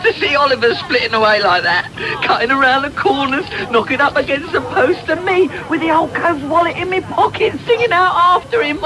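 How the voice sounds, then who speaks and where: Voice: high voice, animated, brisk, hardly any pauses, crowd noise. A performer playing a cheeky young male pickpocket in a radio drama, slangy, cocky and mocking. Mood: gleeful, laughing. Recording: noisy medium-wave radio tape.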